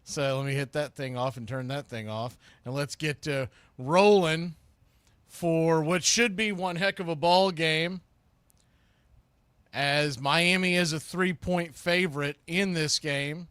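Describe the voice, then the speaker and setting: A man's voice: a little high, slow, a bit bright, clean tone, dry recording. An American man hosting a live football watch party, chatting casually and in a folksy way as he previews the game.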